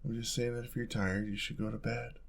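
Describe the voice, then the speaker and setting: A young man playing an affectionate, playful roommate in an intimate audio roleplay, talking in a soft, casual, teasing way. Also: medium pitch, little pitch movement, fairly quick, slightly rough. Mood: gentle, caring.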